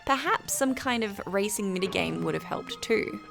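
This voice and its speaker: A British woman reading a scripted review as narration, in a measured, articulate and formal way. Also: medium pitch, animated, creaky, over music.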